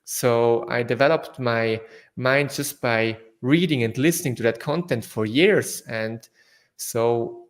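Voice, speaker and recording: A young man from South Tyrol whose first language is German, speaking English with a German accent as an interview guest, halting with fillers and restarts. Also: medium pitch. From northern Italy, South Tyrol. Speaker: guest entrepreneur. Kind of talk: casual interview answers.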